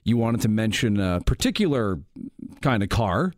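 A man's voice: fairly low pitch, very animated, normal speed, no room sound, clean studio sound. A man hosting a live talk radio show, reading out the call-in number and moving between segments with upbeat announcer delivery. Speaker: radio host. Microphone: studio microphone.

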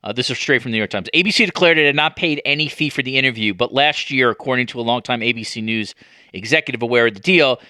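A man hosting a podcast interview, talking conversationally and probing as he works through halting, mid-sentence restarts. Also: medium pitch, pushed and bright, smooth, no room sound, studio quality. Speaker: podcast host, sports journalist.

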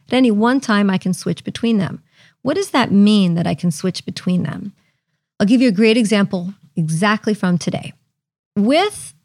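A woman hosting a podcast, explaining technology in a chatty, lively way. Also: mid-range voice, dry recording, studio quality. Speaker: podcast host. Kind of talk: explaining tech casually.

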